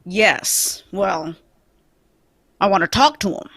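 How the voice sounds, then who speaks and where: A woman's voice: medium pitch, expressive, slow, a bit bright, no echo, studio quality, exaggerated voice. A woman reading a blunt male police detective in a live old-time radio table read, firm and questioning. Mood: stern.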